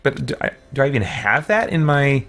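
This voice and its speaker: A man's voice: medium pitch, sing-song, fairly quick, creaky, a bit bright, a little hiss or hum. A man doing casual let's play commentary as a streamer, muttering his thoughts aloud to himself.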